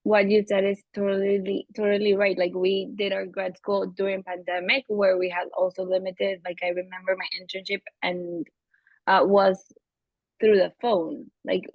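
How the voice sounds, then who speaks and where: A young woman with a Venezuelan accent, a native Spanish speaker, talking thoughtfully and a little haltingly as a therapist guest in a casual interview. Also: medium pitch, flat delivery, no room sound. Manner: thoughtful, halting, searching for words. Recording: slightly dull lo-fi audio.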